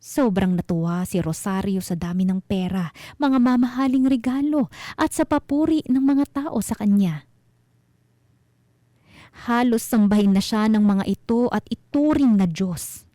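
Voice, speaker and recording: Dark-toned woman narrating radio horror. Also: medium pitch, a little slow, no echo, clean studio sound. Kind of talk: scripted dramatic horror storytelling.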